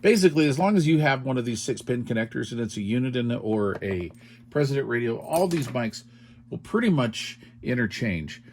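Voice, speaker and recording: A man's voice: medium pitch, lively intonation, brisk, a little mellow, slight background noise. A man explaining things in an informal, step-by-step way, as in a hands-on tutorial, often checking in with the listener. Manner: informal, explanatory, checks in with "all right" and "okay". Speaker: radio product demonstrator.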